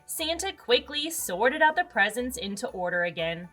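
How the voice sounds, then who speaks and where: A woman with a fairly high, very lively voice narrates a children's storybook. She takes her time and leans on words for dramatic effect, with music underneath. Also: normal speed. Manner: expressive, dramatic emphasis. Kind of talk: animated read-aloud narration for children.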